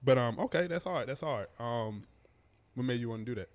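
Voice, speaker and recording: This man is a podcast host who talks quickly. He keeps a laid-back, slangy, conversational tone. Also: mid-range voice, animated. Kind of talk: casual podcast chat.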